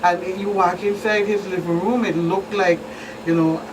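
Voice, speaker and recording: A woman's voice: lowish voice, fairly even intonation, moderate pace. A middle-aged woman with a Virgin Islands Caribbean accent, explaining things as a police expert guest in a talk show interview. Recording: distant mic, clearly noisy background.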